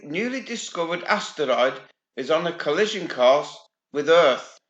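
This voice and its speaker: A man's voice: on the high side, fairly bright tone, echoey room, lo-fi sound. Sardonic man reading the news. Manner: dry, sardonic, swears casually. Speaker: channel host. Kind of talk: reading news aloud with sarcastic commentary.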